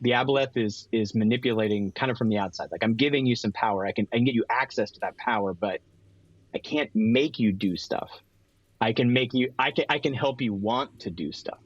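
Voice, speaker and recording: A man's voice: medium pitch, moderate pace, slightly rough, no room sound. Slightly dull recording. Male tabletop player, middle register, loose conversational storytelling. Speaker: guest player in tabletop game. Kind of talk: casual improvised storytelling.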